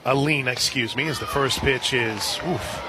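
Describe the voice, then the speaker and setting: A man calling softball play-by-play on the radio, dropping into casual banter between pitches. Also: moderate pace, low-quality recording. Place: outdoor softball stadium broadcast position. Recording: outdoor stadium crowd and ambient noise. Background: crowd noise.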